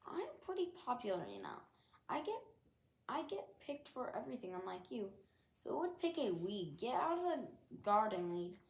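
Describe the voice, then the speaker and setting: A woman's voice: medium pitch, huge pitch swings, moderate pace, vocal fry, echoey room. A woman voicing a vain, popular daisy in a storybook, bragging with a swooping delivery. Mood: smug.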